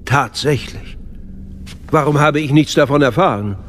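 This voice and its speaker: An older galactic chancellor for a radio drama. He is measured and courtly, with an unctuous, fatherly manner that hides a schemer. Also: fairly high pitch, fairly bright tone. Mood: courtly, calculating. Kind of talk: German radio drama acting.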